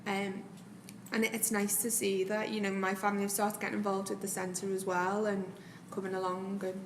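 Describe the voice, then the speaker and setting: A woman speaks quickly and appreciatively about a community centre. She sounds distant from the microphone, in an echoey room. A faint hum of ambience sits underneath. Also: mid-range voice, completely flat delivery.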